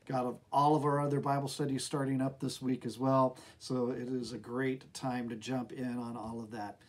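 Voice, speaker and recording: A middle-aged man with an American accent speaks as a pastor. His voice sits at an average pitch for a man. He delivers a devotional talk to camera, recorded indoors in a small office. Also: flat delivery, moderate pace.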